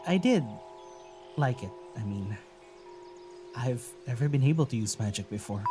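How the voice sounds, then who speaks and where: An actor playing a gentle, wary young traveler in a naturalistic audio drama, speaking in a hesitant, musing way. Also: mid-range voice. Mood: wary, curious.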